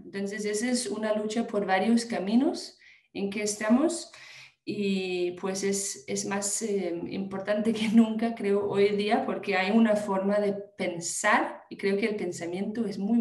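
A woman's voice: mid-range voice, fairly even intonation, a little slow, darkish tone, roomy sound. Woman speaking careful Spanish. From Denmark. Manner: hesitant, many fillers and restarts. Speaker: guest activist. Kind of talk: conversational interview answer.

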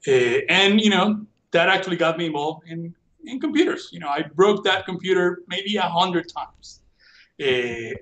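A man in his forties from Venezuela, speaking English with a Venezuelan Spanish accent, telling his story as a guest in a relaxed, self-deprecating way. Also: high-pitched, moderate pace. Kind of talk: casual conversation.

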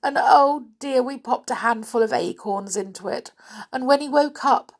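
Lady putting on a weepy little fairy girl, high and breathy, sobbing her story out. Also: normal speed. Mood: tearful. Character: tearful fairy girl, sweet and remorseful.

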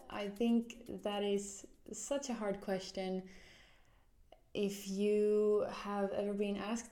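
A young Finnish woman in her twenties talks through her solo podcast at an unhurried pace. Her voice is soft and mellow, and she speaks with a Finnish accent. She is earnest and often circles back to restart a phrase as she searches for her words. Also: mid-range voice. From Finland. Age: 20s. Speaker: podcast host.

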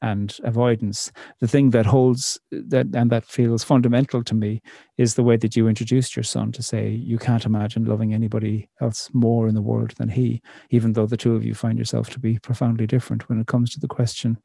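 Poet, middle-aged male, dark gravelly voice, slow reflective delivery. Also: mid-range voice, little pitch movement, no echo, studio quality. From Ireland. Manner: thoughtful, measured, many pauses and fillers. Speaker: poet, interview guest. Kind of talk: reflective conversational interview.

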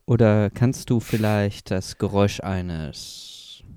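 A man's voice: fairly low pitch, takes it slowly, warm tone, smooth. A man co-hosting a casual podcast, playful, chiming in with short agreements. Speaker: podcast co-host.

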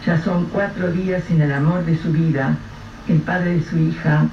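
A man giving measured, literary narration for a radio drama. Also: fairly high pitch, steady tone. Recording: distant, roomy, dull, noisy radio-play recording.

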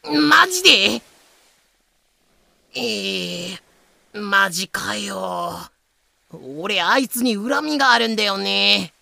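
An actor in a fan audio drama playing a brash pirate captain with rough, swaggering speech. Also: mid-range voice, sing-song, takes it slowly, long pauses, bright, forceful tone, dry recording, full character voice. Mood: grumbling. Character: brash male pirate captain, menacing thug turned chummy.

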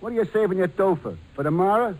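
Grumbling, higher-voiced American pilot. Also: male voice, animated, fast, a little mellow, low-quality recording. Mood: envious, grumbling. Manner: sardonic, slangy. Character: Rossi, grumbling, skeptical American pilot and gambler. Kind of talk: 1940s radio drama acting.

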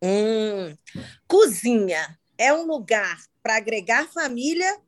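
A woman's voice: on the high side, sing-song, slow pace. A middle-aged woman from Minas Gerais, Brazil, with a faint Minas Gerais accent, hosting a podcast in casual chat, talking chattily with frequent restarts. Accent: faint Minas Gerais Brazilian Portuguese. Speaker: podcast host.

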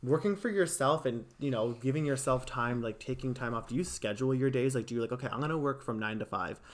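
A man's voice: medium pitch, fast talker. A man hosting a casual podcast chat, talking loosely with lots of fillers and a ready laugh. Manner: chatty, filler-heavy, laughs easily. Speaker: podcast host.

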